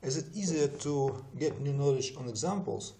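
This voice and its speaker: A man who is not a native English speaker narrates an explanatory software tutorial in a methodical, step-by-step way. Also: mid-range voice, expressive, normal speed, slight background noise.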